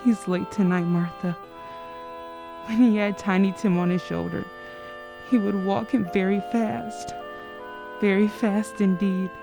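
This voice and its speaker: A slow, creaky-voiced mother played by a woman, tender and heavy with grief. She speaks haltingly in an old-time radio drama with music behind. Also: medium pitch, steady tone. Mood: wistful grief. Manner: mournful, halting, repeating phrases. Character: grieving, tender working-class mother.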